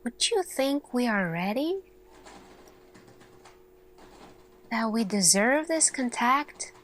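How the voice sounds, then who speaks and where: A woman acts out an earnest lead scientist in a sci-fi ASMR roleplay. She has a fairly high female voice and speaks very slowly and softly. Her delivery is deliberate and thoughtful, pausing around each question she puts to her team. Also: animated. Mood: pensive, stressed.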